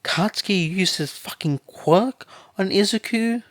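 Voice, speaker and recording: Loud stammery cursing mom, guy putting her on, pitched way up. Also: a little slow, no echo, studio quality. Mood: horrified. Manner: swearing, stammering, emotional. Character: brash foul-mouthed middle-aged mother, guilt-stricken.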